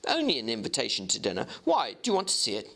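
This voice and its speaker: A discreet and troubled Victorian gentleman lawyer, acted by a man reading a storybook aloud. He speaks formally and guardedly, in a bright voice with a very mobile pitch. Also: wildly swooping pitch, fast, gravelly, exaggerated voice. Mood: brisk.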